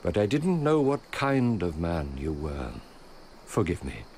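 An actor playing a devout, shrewd old merchant and loyal steward in a radio drama, speaking in an earnest, measured way. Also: male voice, sits low, very animated, a little mellow. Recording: radio drama with faint background noise.

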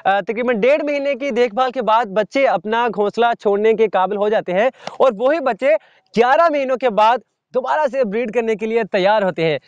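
Young male nature presenter, very high voice, dry outdoor sound, measured explainer. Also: no room sound. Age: young adult. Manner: measured, teacherly, addresses viewer directly. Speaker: wildlife channel host. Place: open sandy ground outdoors.

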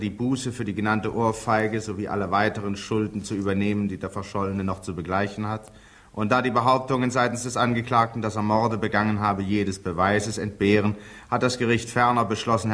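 An actor playing a formal, impersonal judge who declaims officially in a 1950s German radio drama. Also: male voice, on the low side, a bit bright, lightly acted. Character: formal, impersonal male judge reading a verdict.

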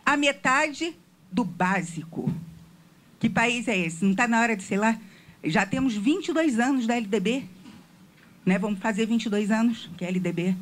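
A woman with a Rio de Janeiro accent and a fairly high voice, standing in the audience of a meeting room. She speaks into a handheld microphone, earnest and questioning, building her point through a personal story. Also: a bit bright. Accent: Rio de Janeiro Portuguese. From Rio de Janeiro, Brazil. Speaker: audience member asking a question.

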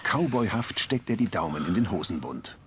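A man narrates a TV series as an audio describer. His voice sits fairly low and moves expressively in pitch while reading scripted scene descriptions. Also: big pitch swings, normal speed, fairly bright tone, a little hiss or hum.

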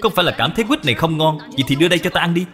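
A man's voice: a little high, animated, racing, fairly bright tone. A man voicing a pampered, selfish young boy in a children's cartoon, blunt and demanding. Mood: taunting. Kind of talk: dubbed children's moral cartoon. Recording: long hall reverb, music behind.

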